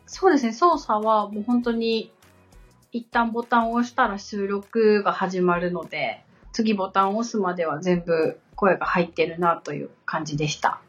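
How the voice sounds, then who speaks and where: A woman's voice: mid-range voice, moderate pace, slightly rough. Female podcast co-host, polite and conversational, simple phrasing. Slightly lo-fi sound over background music. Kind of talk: conversational podcast talk, answering questions.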